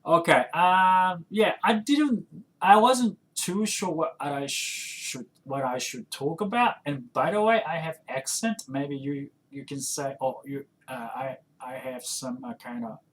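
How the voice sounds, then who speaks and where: Male podcast host, early 40s, Japanese accent with New Zealand influence, unhurried pace, informal and halting delivery. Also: fairly high pitch, a bit bright, poor audio. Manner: halting, informal, self-correcting. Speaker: podcast host and kendo instructor. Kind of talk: casual solo podcast talk.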